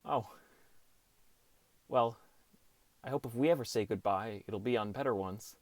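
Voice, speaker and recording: A man's voice: medium pitch, a little slow, no room sound, lightly acted. An actor playing a downcast teenage boy who narrates his gaming adventure in an understated, wry first-person audiobook style. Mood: awkward, gentle. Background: music.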